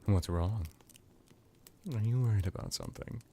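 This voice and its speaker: A man's voice: lowish voice, moderate pace, mellow, slight background noise, close to an everyday voice. A man playing an ancient vampire lord in an ASMR roleplay, seductive, teasing and menacing, with a theatrical delivery that drifts into whispers. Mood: coaxing, menacing.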